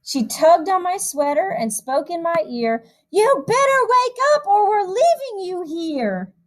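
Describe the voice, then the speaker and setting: A woman with a high-pitched voice reads a picture book to young children. She sounds like a music teacher, animated and expressive in her storytelling. She slips between the verse and chatty asides to her young listeners. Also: unhurried.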